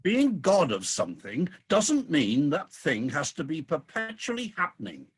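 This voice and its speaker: A woman playing a grumpy, defensive, weary old male god in a comic radio play, husky and indignant. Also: low-pitched, huge pitch swings, a little slow, full character voice. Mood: weary grumbling. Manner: indignant, grumbling.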